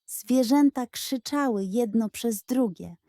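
Slow, mellow woman telling a children's story. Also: a little high, no room sound, clean studio sound. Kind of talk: expressive scripted children's story narration.

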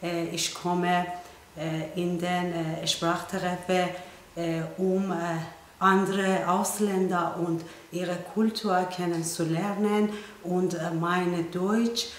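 A woman's voice: lowish voice, little pitch movement, slow, echoey room, slight background noise. A woman in her thirties speaking hesitantly as a language learner. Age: 30s.

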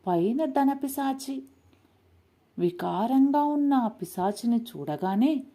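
A woman's voice: sits high, dark tone. A woman narrating a moral story in a dramatic storytelling style.

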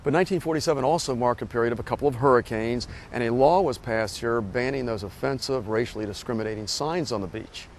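A man guiding a walking tour and explaining local history in a measured, informative way. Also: medium pitch, a little hiss or hum. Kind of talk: scripted walking-tour narration. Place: outdoors on a beach boardwalk, later a hotel lobby.

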